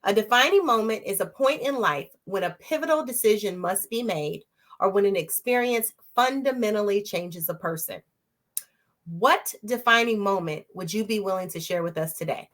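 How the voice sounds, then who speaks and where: A woman's voice: mid-range voice, animated, normal speed, fairly bright tone. A woman hosting an interview in a casual, affirming way, often repeating her guest's words back. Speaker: interview host.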